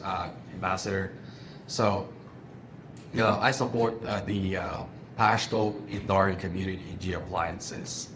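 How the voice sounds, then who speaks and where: A man from Afghanistan, introducing himself to students in a plain, matter-of-fact way. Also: low voice. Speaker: company ambassador on a panel. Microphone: handheld microphone. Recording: clear background noise in a school room.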